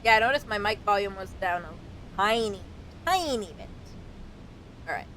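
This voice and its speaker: A woman's voice: expressive, moderate pace, a bit bright, poor audio. A woman streaming a let's play, reading game text aloud and commenting in a casual, informal way. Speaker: streamer playing and reading a visual novel. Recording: clear rumbling background noise.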